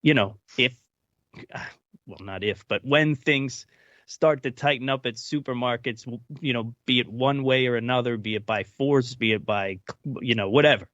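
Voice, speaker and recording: A man with a medium-pitched voice reflects aloud on a casual panel. He is halting and often searches for the right word. The audio is somewhat dull. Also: a bit bright, no room sound. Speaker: panel participant. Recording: dull, dry, lo-fi sound.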